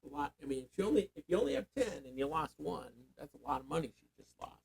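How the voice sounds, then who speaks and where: A man's voice: on the high side, big pitch swings, fast, vocal fry. An older man, a grandfather, leading a casual Bible reading, thinking aloud and prompting with questions. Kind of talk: casual teaching chat.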